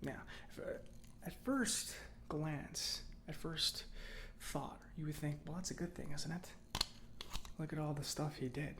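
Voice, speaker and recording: A man playing a chatty, opinionated robot mechanic in an ASMR roleplay, speaking softly and often near a whisper in a halting, musing, conversational way. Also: fairly high pitch, steady tone, background noise. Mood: confiding, explanatory. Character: chatty, opinionated male government robot mechanic, gently confiding. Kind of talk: soft-spoken ASMR roleplay.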